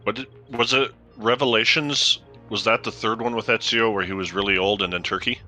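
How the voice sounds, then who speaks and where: A man with a fairly low but very bright, forceful voice chats casually during a game. Faint music plays behind him. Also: steady tone, a little fast. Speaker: co-player. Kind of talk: casual chat while gaming.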